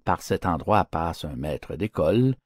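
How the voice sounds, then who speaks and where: A low-voiced man narrates fables for an audiobook. His delivery is slow and theatrical, with richly varied intonation and a declamatory manner. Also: no room sound, clean studio sound. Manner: declamatory, expressive.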